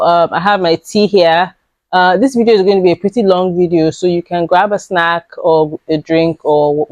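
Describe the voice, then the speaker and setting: A young woman with a raspy voice, chatting casually to camera about books she bought, rambling with fillers. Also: fairly low pitch, darkish tone, dry recording. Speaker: book vlogger.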